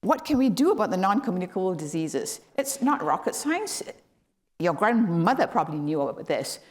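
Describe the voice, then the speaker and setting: A middle-aged woman from Malaysia delivers a guest lecture in a hall, in a somewhat low voice. She explains her points patiently and rises sharply in pitch on the words she wants to stress. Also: big pitch swings, normal speed. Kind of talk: academic lecture.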